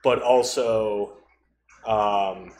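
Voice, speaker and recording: A man's voice: on the low side, fairly even intonation, drawn-out words, darkish tone. Man chatting in an echoey room. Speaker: podcast co-host. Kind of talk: casual podcast chat. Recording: roomy echo, faint background noise.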